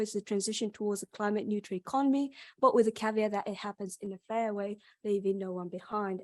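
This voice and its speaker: A woman's voice: mid-range voice, darkish tone, dry recording. A young woman with a Yorkshire accent, a PhD student giving an academic conference presentation, with frequent ums and restarts. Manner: hesitant, many ums and restarts.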